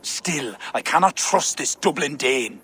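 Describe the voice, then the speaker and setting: Stern, forceful king. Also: a little high, expressive, unhurried, slight background noise. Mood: suspicious, brooding. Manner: imperious, dramatic. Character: stern, suspicious, commanding Irish king. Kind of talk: video game voice acting.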